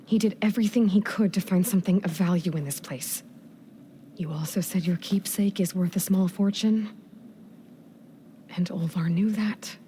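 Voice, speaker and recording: An actress playing a frank, loyal young heroine in a video game, speaking earnestly and directly. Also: female voice, lowish voice, moderate pace, mellow, slight background noise, natural voice. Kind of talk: video game cutscene acting.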